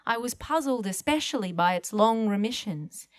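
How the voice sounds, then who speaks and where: A woman's voice: medium pitch, expressive, normal speed, no room sound, clean studio sound. A woman reading a first-person literary short story aloud as a guest reader at an event.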